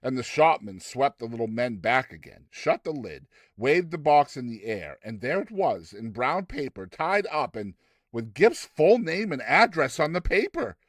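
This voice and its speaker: A man giving a dramatized audiobook narration, theatrical and expressive, with deliberate phrasing. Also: mid-range voice, dry recording, clean studio sound. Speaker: narrator of short story.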